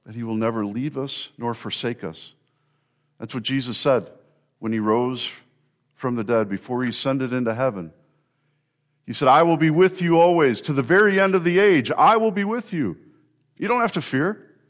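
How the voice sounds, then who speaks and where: A man preaching an Easter sermon as a pastor, earnest and warm in delivery, sometimes building to emphatic repetition. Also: medium pitch, lively intonation, normal speed.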